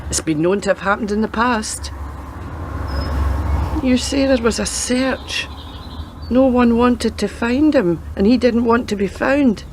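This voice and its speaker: A woman in a radio play acting a world-weary, sceptical grandmother who used to be a policewoman, speaking in a dry, measured way. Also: on the high side, a little mellow, a little hiss or hum, close to an everyday voice.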